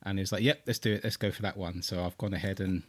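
A man's voice: deep voice, steady tone, quick pace, no room sound. A man hosting a podcast in a chummy, rambling way with lots of restarts. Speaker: podcast host. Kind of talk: casual podcast chat.

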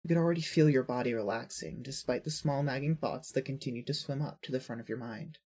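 A narrator reading scripted second-person fiction in an earnest, emotive storytelling manner, with a husky voice. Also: mid-range voice, fast, dark tone.

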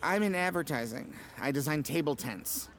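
A man's voice: a little high, animated, moderate pace, faint music behind, close to an everyday voice. An actor playing a hapless, good-natured and apologetic ad man in a comic audio drama. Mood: flustered, good-natured. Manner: apologetic, eager to please. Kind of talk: audio drama comedy acting.

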